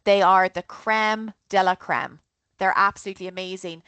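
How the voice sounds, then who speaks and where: A woman's voice: mid-range voice, steady tone, normal speed, a bit bright, smooth. An Irish woman giving an online travel briefing, chatty and upbeat, often checking in with her listeners. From Ireland. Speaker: travel company presenter. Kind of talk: online group trip briefing.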